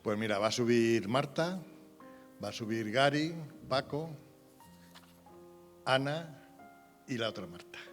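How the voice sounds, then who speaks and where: A man's voice: mid-range voice, expressive, slow, long pauses. A man chatting casually as an interview guest, plain-spoken and colloquial. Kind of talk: casual stage chat. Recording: faint music behind.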